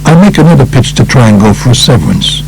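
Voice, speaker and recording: An older man with a gravelly voice reads a true crime book as a scripted audiobook narrator. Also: mid-range voice, huge pitch swings, fast talker, a little hiss or hum, low-quality recording.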